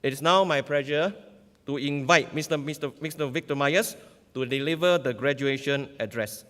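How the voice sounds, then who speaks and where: A man's voice: mid-range voice, normal speed, a bit bright. A middle-aged man reads a formal scripted introduction at a podium. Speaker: ceremony presenter introducing speaker. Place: large indoor auditorium stage. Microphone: podium gooseneck microphones. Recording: long hall reverb from auditorium PA.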